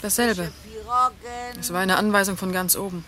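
A woman's voice: lowish voice, fairly even intonation, moderate pace, noisy background, lightly acted. An actress in a German radio drama playing a desperate mother, speaking with urgent insistence. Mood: bitter despair. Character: desperate, insistent Russian mother fighting for her sick son.

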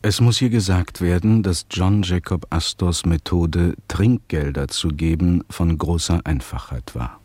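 A man narrating a radio play in formal, measured phrases. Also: low-pitched, warm tone, husky, dry recording.

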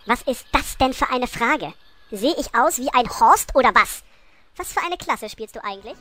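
A woman's voice: fairly high pitch, lively intonation, normal speed, bright, forceful tone. A woman voicing an exasperated, imperious gnome queen with a snappy, sarcastic manner in an amateur parody audio play. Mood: irritated. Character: bossy, exasperated female pink gnome queen.

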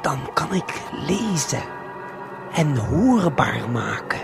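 A man narrates a surreal audio play straight to the listener, with intimate, deliberate, hypnotic phrasing. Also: on the high side, big pitch swings, creaky. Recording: music under the voice. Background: music.

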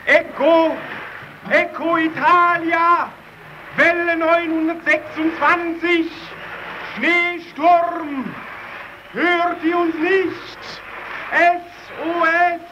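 A stranded polar radio operator in a radio play. His voice is very high and strained with desperation, chanting each distress call extremely slowly and urgently. Loud noise sits around him on the old recording. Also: flat delivery, highs cut off, exaggerated voice. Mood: desperate. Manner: urgent, chanting, repetitive calling. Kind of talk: German radio play acting, slow distress-call declamation.

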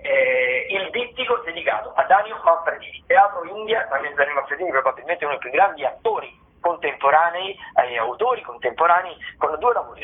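A man hosting a radio show, talking through event listings informally, with hesitant, discursive, improvised phrasing. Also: fairly high pitch. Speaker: radio theatre show host. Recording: thin, band-limited telephone-like radio sound.

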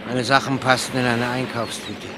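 A man's voice: medium pitch, flat delivery, moderate pace, natural voice. A man acting in a radio drama as a frank, resigned former surgeon turned boatman, talking matter-of-factly. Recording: loud boat and outdoor background noise.

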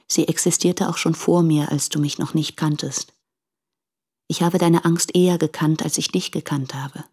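Low, husky, frank woman in love. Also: a little mellow. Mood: understanding, intimate. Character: married woman, frank, resolute, anguished lover of a fearful man. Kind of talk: literary radio drama monologue.

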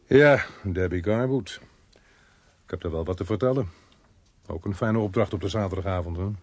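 An actor with a Netherlands Dutch accent playing a sober, dogged police inspector who narrates his own story in a measured, understated radio drama style. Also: low voice, slightly rough, no room sound. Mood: businesslike. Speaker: actor, lead role and narrator of a radio play.

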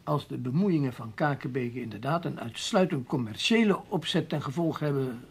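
An actor playing a proud, resigned middle-aged newspaper writer in a Dutch radio play, wordy and protesting in a Netherlands accent. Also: male voice, mid-range voice, lively intonation, normal speed, husky. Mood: courteous, hesitant. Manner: wordy, hesitant, protesting with repeated little words.

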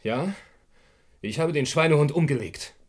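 Gangster, husky voice, bitter, defensive, terse, dramatic emphasis, radio crime drama. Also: male voice, a little high, lively intonation, normal speed. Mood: grim confession. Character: cornered male gangster confessing, bitter and defensive.

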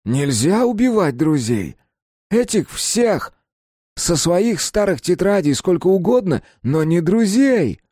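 A male narrator performs a furious, frantic man in a horror audiobook. The voice is pushed very high and cracks as he shouts shrill accusations, close and dry. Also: very animated, slow pace, no room sound, heavily acted. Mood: indignant. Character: Kapustin, frantic, enraged man with breaking voice.

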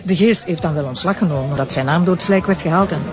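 An actress playing a lively, teasing pregnant young woman, in a radio play. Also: on the low side, quick pace, natural voice. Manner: teasing, animated. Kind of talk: radio drama acting. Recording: dull lo-fi radio play recording, music behind.

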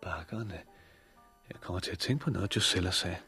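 An actor playing an earnest, sensible survivor in a 1960s Danish radio drama, with a plain, thoughtful delivery. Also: male voice, deep voice, bright, forceful tone, faint music behind. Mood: pensive. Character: earnest, sensible male survivor seeking his girlfriend.

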